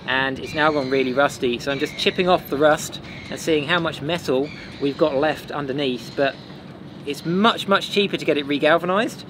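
A man in his thirties, a sailing vlogger, casually explaining maintenance work on his boat outdoors. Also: on the high side. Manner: relaxed, practical, explains while working. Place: outdoors on a boat and a marina dock. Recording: outdoor noise behind.

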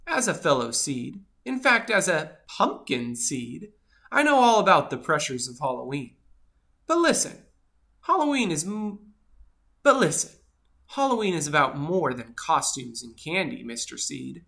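Woman as a bright, polite little pumpkin seed. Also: low voice, a little slow, gravelly, full character voice. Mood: earnest, persuading. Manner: polite, expressive, encouraging storybook delivery. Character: kind, earnest, polite pumpkin seed offering gentle advice. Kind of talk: animated children's storybook read-aloud.

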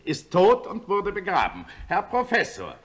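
An actor playing a stern chief prosecutor in a German radio play, formal, incisive and accusing. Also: male voice, high-pitched, huge pitch swings, measured pace, fairly bright tone, exaggerated voice.

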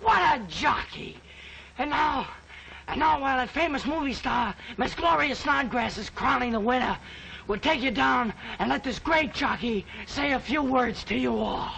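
An actor playing a cocky, wisecracking New York street kid with slangy, teasing barker's patter in an old film. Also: male voice, very high-pitched, expressive, normal speed, vocal fry, sharp tone, exaggerated voice. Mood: excited showman. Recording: noisy old film soundtrack.

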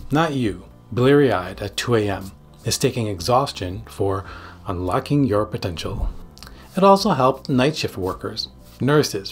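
Husky man giving a dramatic explainer. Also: mid-range voice. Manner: emphatic, dramatic phrasing. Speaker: psychiatrist and video host. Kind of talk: scripted explainer narration to camera. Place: small home studio room. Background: background music.

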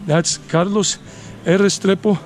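A man in his late forties hosts a casual walking tour, speaking to his viewers in a slightly high voice. He rambles and thinks aloud as he goes. He is outdoors on a city street with a handheld camera, and music is clearly heard behind him. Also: steady tone, unhurried. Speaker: travel vlogger and walking tour host. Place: outdoors, walking a leafy urban residential street.

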